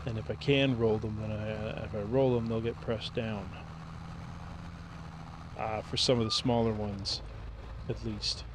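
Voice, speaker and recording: A man streaming a farming game, thinking aloud in a relaxed, rambling way as he plays. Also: fairly low pitch, unhurried, long pauses, background noise. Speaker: gaming streamer. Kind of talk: casual gameplay commentary.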